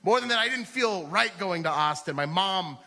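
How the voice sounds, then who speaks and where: A man's voice: high voice, animated, normal speed, sharp tone. An American man doing comedic personal storytelling on stage, chatty and full of "like" and "you know.". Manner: conversational, peppered with "like" and "you know". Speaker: stand-up comedian. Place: theater stage at a live radio show. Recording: live stage in a reverberant hall.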